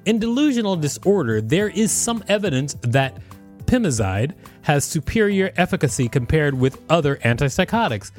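A man co-hosting a podcast, reading an encyclopedia article aloud in a plain, steady way and joking between sections. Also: a little high, normal speed, soft background music. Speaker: podcast co-host and reader.

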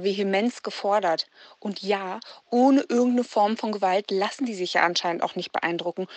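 Actress doing indignant woman commenter, audio drama, dismissive and sure of herself, medium pitch, dry sound. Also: dry recording, natural voice. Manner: scornful, self-assured. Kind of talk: naturalistic audio drama monologue.

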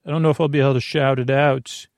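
A male podcast host with a mid-pitched, heavily creaky voice talks in a rambling bedtime monologue. He is soothing and lulling, wandering off on tangents and cutting into his own sentences. The recording is dry and close to the mic. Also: level tone, quick pace, no echo. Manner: meandering, tangential, self-interrupting.

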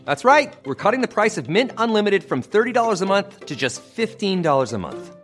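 A man's voice: high voice, sing-song, a little fast, faint music behind. A man delivering a comedic scripted advertisement in a wry, sarcastic tone. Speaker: ad spokesman.

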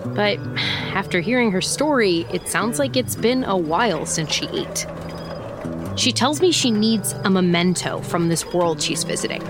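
A woman's voice: mid-range voice, animated, moderate pace, music in the background, lightly acted. An actress playing a wry, tough female private eye who narrates casually in a mystery audio drama. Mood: wry narration.

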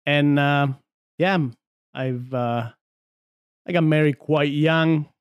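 A man's voice: on the high side, fairly even intonation, takes it slowly, clean tone, no room sound, dull audio. A man in his forties from Argentina, a podcast guest chatting casually in a studio, telling stories with many fillers and restarts. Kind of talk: casual chat.

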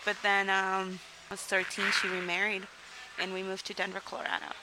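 Young woman from Mexico, interview guest, open and rambling, steady mid-range pitch, noisy recording. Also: fairly quick. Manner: candid, rambling, frequent "like" and "um", laughs between sentences. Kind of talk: casual interview storytelling.

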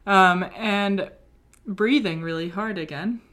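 Slow, chatty British woman storyteller. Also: medium pitch, fairly bright tone. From Britain. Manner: chatty storyteller, many ums and likes. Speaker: podcast co-host. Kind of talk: casual chat.

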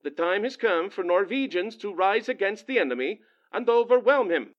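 A man's voice: sits high, expressive, normal speed, muffled recording. A man playing a courteous, nervous Norwegian anti-Nazi leader in a radio drama, with formal, oratorical delivery. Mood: earnest, rousing. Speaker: speech-maker.

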